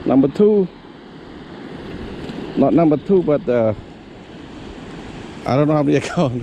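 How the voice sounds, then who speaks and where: Guy hyped up calling out his catches by the river, keeps repeating himself, loud outdoor noise. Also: mid-range voice. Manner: excited running self-talk, repeats words. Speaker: fishing vlogger. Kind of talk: live commentary while fishing. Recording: outdoor recording with steady background noise.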